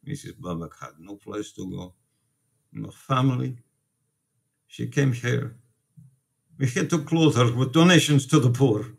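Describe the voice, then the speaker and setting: A compassionate Polish immigrant priest in a radio play. He is a man of middling pitch who speaks formally and earnestly, pleading for others and refusing to back down. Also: unhurried, vocal fry, pauses often. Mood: firm, protective.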